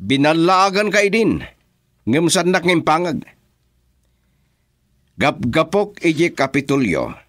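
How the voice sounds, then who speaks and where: An actor playing a gruff, domineering boss in an Ilocano radio drama, brusque and scolding. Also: male voice, a little high, very animated, slow, pauses often, fairly bright tone, dry recording, clean studio sound, heavily acted. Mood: brisk, bossy. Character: gruff, bossy male political operator barking at his driver.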